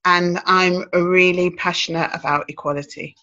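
A woman's voice: lowish voice, steady tone, moderate pace, pushed and bright, highs cut off. A woman in her thirties speaking earnestly and wearily as a guest on a video call panel. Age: 30s. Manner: earnest, weary.

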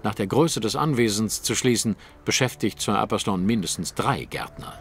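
An actor playing a cool, analytical and self-assured detective in a German audio drama, with precise, formal diction. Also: male voice, on the low side, moderate pace, soft background music, lightly acted. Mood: observant, assured.

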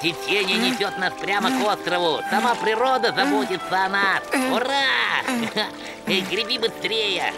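Excitable little cartoon mouse sidekick voiced by an actor. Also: medium pitch, moderate pace, close to an everyday voice. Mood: overjoyed. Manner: exclamatory, full of little interjections. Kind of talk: dubbed cartoon acting. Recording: loud music under the voice.

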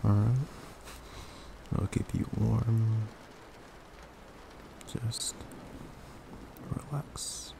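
Guy doing soft boyfriend asmr, really slow n low, comforting and a bit teasing, clear noise behind him. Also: fairly even intonation. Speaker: ASMR roleplay performer. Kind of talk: ASMR boyfriend roleplay, intimate and soothing. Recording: close recording with clear background noise.

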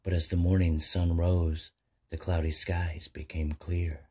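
A man narrating a rhyming children's bedtime story in measured, sing-song verse. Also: sits low, level tone, breathy edge.

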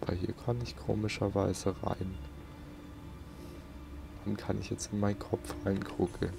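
A man's voice: fairly low pitch, flat delivery, measured pace, gravelly. A man giving casual gameplay commentary, grumbling and talking to himself. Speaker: let's player. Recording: game sounds and ambience behind.

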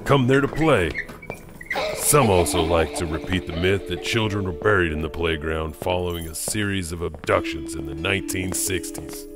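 Horror anthology host played by a man: wry storytelling janitor, lowish voice, clear music bed underneath. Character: wry janitor host telling creepy urban legends. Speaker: host and narrator. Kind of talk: scripted horror anthology narration.

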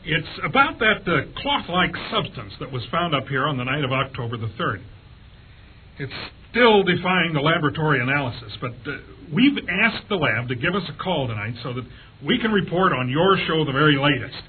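An actor playing an earnest committee investigator with a husky voice in an old radio drama. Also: male voice, a little high, big pitch swings, a little fast, full character voice. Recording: phone-like old radio recording.

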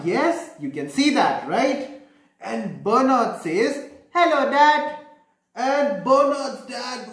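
A man in his thirties reading a picture book aloud to children, explaining it simply and asking lots of questions. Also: extremely high pitch. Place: small bedroom, indoors. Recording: echoey room.

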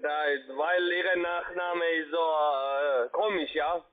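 A man's voice: fairly high pitch, fairly even intonation, slow. A man who is not a native German speaker, making a cold call by phone. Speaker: cold caller. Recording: noisy clicking narrow phone line.

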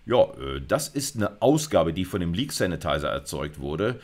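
A man's voice: low-pitched, big pitch swings, moderate pace. A middle-aged man giving an informal video lecture, explaining conversationally with colloquial asides. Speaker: university lecturer. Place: indoors at a computer, recorded lecture.

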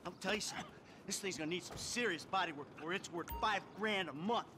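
A man's voice: high-pitched, quick pace, close to an everyday voice. An actor playing a menacing, sardonic middle-aged American father with a criminal streak in a video game. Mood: angry. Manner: threatening, sardonic. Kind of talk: acted video game dialogue. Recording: loud music and car noise behind. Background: music, vehicle.

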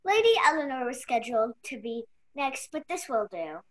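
A young woman playing a brisk, bossy investigator in a mystery radio play, formal and officious. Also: high voice, sing-song, a bit bright, heavily acted. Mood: stern. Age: young adult. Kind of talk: stage-style mystery radio play acting.

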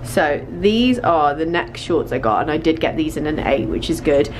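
A woman's voice: low-pitched, expressive, moderate pace, a little hiss or hum, poor audio. A young British woman chatting informally to camera as a fashion vlogger, repeating words for emphasis while trying on clothes. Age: young adult. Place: small clothing store fitting room. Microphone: handheld vlogging camera.

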